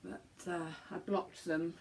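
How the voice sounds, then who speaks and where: A woman with a slightly croaky throat, chatting casually to camera at home as a knitting podcast host, rambling with many ums and restarts. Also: lowish voice, flat delivery, slow, a little mellow, slight background noise.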